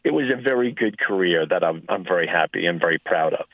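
A man with a somewhat low voice, calling in over a tinny phone line that sounds dry and boxed in. He talks haltingly, restarting his sentences and filling gaps with ums. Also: expressive, no echo. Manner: halting, many restarts and fillers. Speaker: guest, retired intelligence officer. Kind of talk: phone-in interview.

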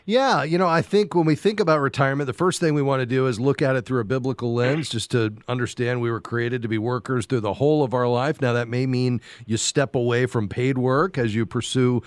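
Male call-in host, conversational advisor, medium pitch, very dry room. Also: fairly even intonation, fairly quick, few pauses, no echo. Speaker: radio show host.

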